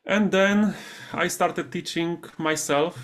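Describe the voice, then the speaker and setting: Higher-voiced Polish man, interview guest. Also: slow pace, fairly bright tone. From Poland. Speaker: interview guest, meditation teacher. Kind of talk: conversational interview. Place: remote video call.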